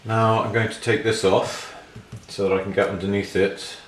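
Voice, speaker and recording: A man's voice: fairly low pitch, flat delivery, normal speed, slight background noise. A middle-aged man thinking aloud in a casual, matter-of-fact hands-on tutorial while working at a bench. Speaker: repair hobbyist demonstrating a restoration. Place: indoors at a repair workbench. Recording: roomy, clear handling clatter and clicks.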